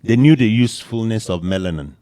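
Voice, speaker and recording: Guy from canada with a lowish voice, lecturing about history in a chill chat. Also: lively intonation, fairly quick, warm tone. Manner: lecturing, explains history with emphatic rises. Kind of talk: casual discussion.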